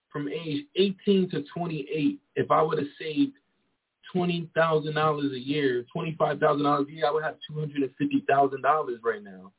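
A man's voice: a little high, breathy edge. A man in his twenties talking casually and at length, often checking in with "you feel me". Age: 20s. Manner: rambling, frequent "you feel me". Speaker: guest. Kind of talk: casual chat. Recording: tinny phone-like sound.

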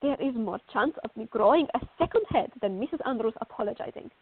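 A woman's voice: fairly high pitch, lively intonation, fast, husky. A woman acting a fiery, stubborn, sarcastic teenage girl in a radio drama. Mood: indignant. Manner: headstrong, sarcastic. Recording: muffled, phone-like narrow-band audio.

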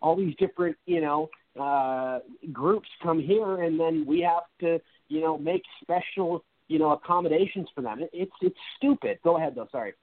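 A man's voice: a little high, normal speed, hardly any pauses. A male talk show host interviewing a guest in a casual, rambling, effusive way, often restarting his sentences. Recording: dry, phone-like sound.

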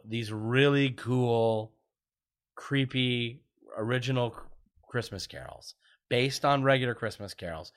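A middle-aged man with a loud voice, a podcast guest telling animated stories in casual chat, restarting his sentences often. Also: mid-range voice. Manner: animated storyteller, many restarts and "you know"s. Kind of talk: casual podcast interview storytelling.

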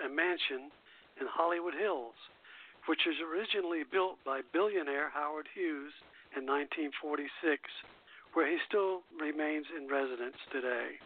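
A man with a slightly high voice hosts a radio show over a narrow, phone-like connection. He reads biographical notes from a script in a halting way, stumbling on words and correcting himself. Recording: thin, phone-like internet radio line.

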